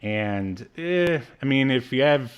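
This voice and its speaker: A man in his thirties co-hosting a podcast in casual chat, with a jokey, halting delivery. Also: mid-range voice, expressive, clear, resonant tone, highs cut off. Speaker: podcast co-host. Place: small room at home, on a video call.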